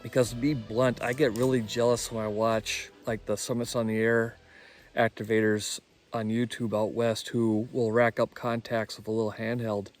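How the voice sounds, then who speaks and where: A man's voice: lowish voice, flat delivery, normal speed, soft background music. A man talking casually and enthusiastically in an informal vlog as an amateur radio operator.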